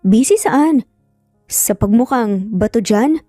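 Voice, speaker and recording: A woman's voice: on the high side, animated, slow, mellow, no echo, studio quality, faint music behind. A woman voicing a feisty, caring young nanny with a sarcastic, dramatic manner in a narrated story.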